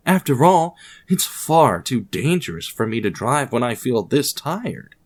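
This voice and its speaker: A man's voice: mid-range voice, big pitch swings, measured pace, pitched above the performer's own voice. A man playing a bland, weary young office worker thinking to himself in an audio drama. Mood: tired, self-soothing.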